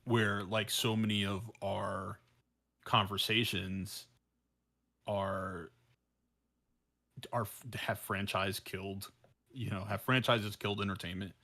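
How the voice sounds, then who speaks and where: Guy podcast co-host, bright forward voice, lowish pitch, kinda rambling casual talk. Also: little pitch movement, slow, gravelly, pauses often.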